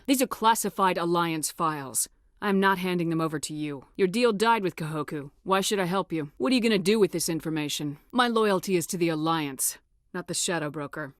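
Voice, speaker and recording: Actress as female military commander, lowish voice, resolute, blunt, authoritative, clipped delivery, dry close sound, video game dialogue. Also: no echo, lightly acted. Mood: firm, guarded, then demanding, suspicious.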